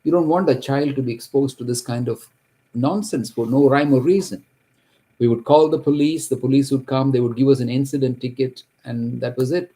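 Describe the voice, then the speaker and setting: A middle-aged man from Kerala, India, who grew up speaking Malayalam, gives an earnest, halting account of his own story from his home office. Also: medium pitch, dark tone. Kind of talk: personal testimony to camera.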